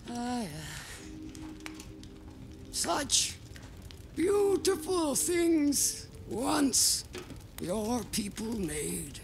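A weary, ancient alien native from a video game, resigned yet gentle. The speech crawls along in cryptic broken English, swinging widely in pitch. It creaks heavily and thins into breath, with music underneath. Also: lively intonation, extremely slow, pauses often, heavily acted. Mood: wistful. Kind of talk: video game cutscene acting.

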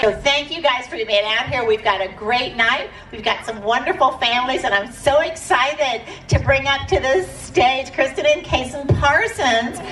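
Woman event host, upbeat and coaxing, slightly high voice, heard through loudspeakers from the crowd, echoey, noisy audience. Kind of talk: addressing a crowd with a microphone. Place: outdoor night event with crowd. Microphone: handheld mic through speakers, filmed from audience. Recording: echoey PA sound from far off, crowd noise.

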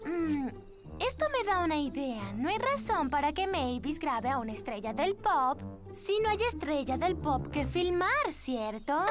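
Very high-voiced, sweet cartoon girl. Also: big pitch swings, a little slow, over music. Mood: earnest. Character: sweet, considerate girl pop star on vacation. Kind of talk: children's cartoon dub.

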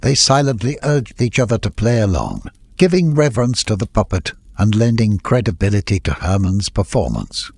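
Male audiobook narrator: lowish, husky, scripted fantasy storytelling. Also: very animated, moderate pace, a little mellow, dry recording.

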